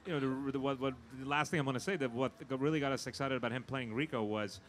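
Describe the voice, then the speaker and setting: Halting man with mid-range voice, noisy background. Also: medium pitch. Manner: halting, often repeats words. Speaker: film producer being interviewed. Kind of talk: casual interview chat.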